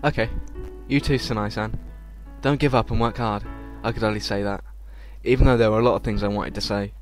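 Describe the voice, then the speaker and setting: A man's voice: on the low side, steady tone, fairly quick. A man hosting a let's play, reading visual novel text aloud informally, stumbling over words and adding casual reactions. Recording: game music behind the voice.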